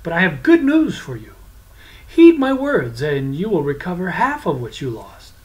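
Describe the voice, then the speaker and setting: A storyteller voicing a scheming male devil who flatters and then begs in a raised, pleading voice. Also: medium pitch, normal speed, lightly acted, rough recording. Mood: wheedling. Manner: wheedling, then whining.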